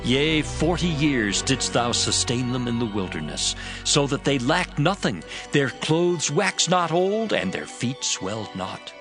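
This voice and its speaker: A man's voice: medium pitch, big pitch swings, measured pace, hardly any pauses. A man playing an impassioned Levite, praying in a reverent, oratorical style for a dramatized scripture reading. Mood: reverent gratitude. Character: impassioned male Levite praising God's mercy. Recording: music bed under the voice.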